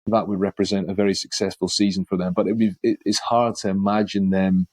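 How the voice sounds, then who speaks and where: A man speaking in a measured, considered way as a football pundit on a podcast panel. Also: low voice, little pitch movement, darkish tone, dry recording.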